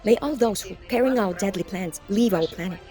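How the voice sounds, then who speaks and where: Woman, voice-over interpreter; steady, earnest read, warm timbre, soft music bed. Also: mid-range voice, a little fast.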